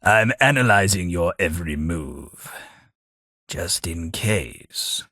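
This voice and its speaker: An actor playing a polite, wry British ghoul servant, centuries old, in a video game, with a husky voice. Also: male voice, deep voice, lively intonation, slow, sharp tone, a little hiss or hum, exaggerated voice. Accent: British English.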